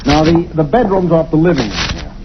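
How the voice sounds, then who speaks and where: A man's voice: a little high, sing-song, a little fast. An actor playing a chatty, folksy male real estate agent, in 1940s radio acting style, on a dull old recording with hiss. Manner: chatty, folksy salesman patter.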